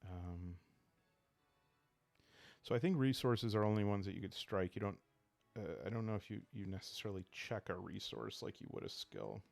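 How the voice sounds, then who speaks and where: A man calmly reading game rules in an ASMR style, soft-spoken and unhurried, with casual asides as he thinks aloud. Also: on the low side, creaky, no room sound. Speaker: host of a solo roleplaying game playthrough. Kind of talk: calm ASMR rulebook reading with casual commentary.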